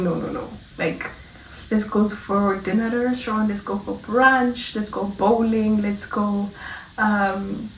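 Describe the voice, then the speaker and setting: Vlogger, woman in her twenties from South Africa, chatty and rambling, mid pitch, recorded a little off-mic in a roomy space. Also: recorded from a distance. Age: 20s. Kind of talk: casual chat to camera.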